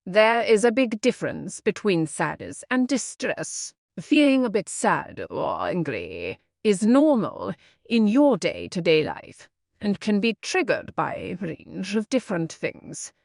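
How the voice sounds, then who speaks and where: A woman reading a scripted passage aloud with clear, deliberate phrasing. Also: medium pitch, sing-song, a little mellow, no room sound, clean studio sound. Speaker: narrator reading exam practice passages. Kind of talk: scripted read-aloud narration.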